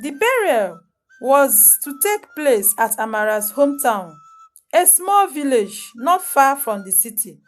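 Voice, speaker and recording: A woman narrating a scripted folktale as a storyteller, solemn and dramatic, in short deliberate phrases. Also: high-pitched, sing-song. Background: music under the voice.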